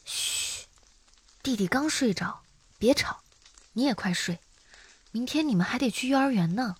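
A woman playing a gentle young mother of small children in a radio drama. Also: fairly high pitch, lively intonation, measured pace, husky, dry recording, rough recording. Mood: hushed, caring. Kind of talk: Chinese audio drama acting.

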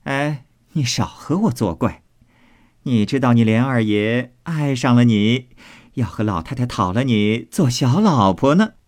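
A man voicing a brisk, bossy, teasing young lady of the house in a storybook, bantering and full of exclamations. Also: medium pitch, lively intonation, darkish tone, breathy edge.

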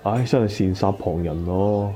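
A man's voice: fairly low pitch, normal speed, dark tone, close to an everyday voice. A man playing a chubby, easygoing barbecue-stall owner in a Cantonese radio drama, bantering colloquially with old classmates. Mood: teasing. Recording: dull lo-fi audio.

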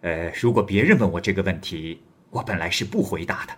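Proud, poor young male scholar in an old tale, voiced by a man storyteller, low and husky. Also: expressive, fairly quick, fairly bright tone, full character voice. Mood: earnest.

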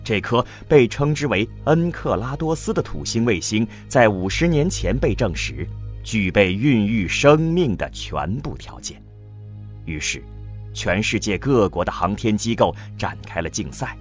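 A man giving solemn scripted narration as the narrator of a science fiction radio drama. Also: mid-range voice.

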